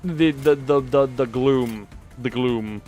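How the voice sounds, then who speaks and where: A man's voice: mid-range voice, slow, dark tone. Wry male game streamer over music. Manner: wry, sarcastic, playful exclamations. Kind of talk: live gameplay commentary, reading dialogue aloud.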